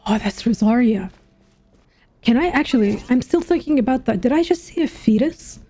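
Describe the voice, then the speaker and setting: High, mellow young woman gaming. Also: animated, normal speed. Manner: musing aloud, half to herself. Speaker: gaming streamer. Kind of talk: casual let's-play commentary. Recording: game music behind the voice.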